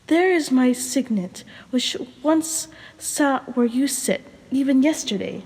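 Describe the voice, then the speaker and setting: A woman with a hoarse voice voicing a ragged old deposed king, desperate and insistent, in a storybook reading. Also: sits high, animated, slow pace, a little mellow, long reverb tail, heavily acted.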